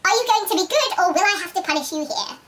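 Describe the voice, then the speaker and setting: A woman's voice: very high voice, lively intonation, fast talker, crowd noise. A woman giving a theatrical, exaggerated dramatic reading of romance fiction. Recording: distant mic, faint background noise.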